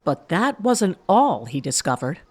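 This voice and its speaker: A woman's voice: lowish voice, very animated, a little slow. A woman delivering scripted narration for a mock documentary.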